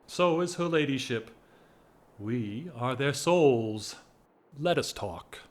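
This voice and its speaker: A man acting a whimsical, restless gentleman in an audio drama, with theatrical, wordy, teasing formality. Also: medium pitch, animated, takes it slowly. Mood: playful, whimsical. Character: whimsical, restless English gentleman, an aristocrat in love.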